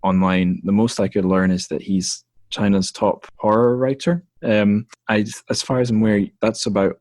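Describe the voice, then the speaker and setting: Low-voiced man hosting a podcast. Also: normal speed, dry recording, clean studio sound. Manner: relaxed, rambling with fillers and self-corrections. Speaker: podcast host. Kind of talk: casual interview chat.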